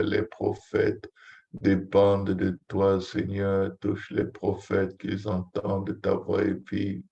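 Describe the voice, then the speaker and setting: A man's voice: low-pitched, vocal fry, darkish tone, dull audio. A man leading a fervent, improvised Pentecostal prayer as a pastor, pleading in repetitive, chant-like invocations.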